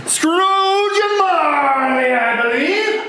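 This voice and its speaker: Man as a very high-voiced genteel charity gentleman. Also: animated, very slow, heavily acted. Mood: genteel pleading. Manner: florid, formal, polite. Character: portly, genteel, ingratiating male charity collector. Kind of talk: amateur comic dramatic play reading. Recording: cavernous echoey room, faint background noise.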